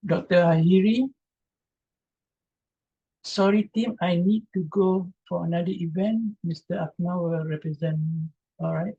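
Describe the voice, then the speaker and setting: A man from Malaysia answers audience questions over a video call, repeating each question aloud and hedging with fillers. His voice is mellow and a little husky. The call audio sounds dull and lo-fi. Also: high-pitched, steady tone, unhurried. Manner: reads out chat questions, answers with hesitant fillers. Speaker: presenter. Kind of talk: Q&A after an online talk.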